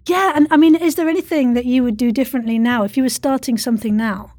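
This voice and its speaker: A woman's voice: high voice, fast, a little mellow, dry recording. A woman hosting an informal interview, chatty and encouraging towards her guest. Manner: effusive, encouraging listener with brief interjections. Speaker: podcast host, interviewer.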